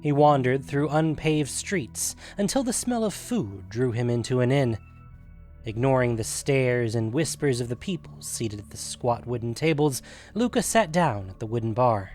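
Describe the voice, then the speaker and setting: A man with a medium-pitched voice narrates a scripted fantasy story for a podcast. Faint music plays behind his reading. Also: animated, normal speed, darkish tone.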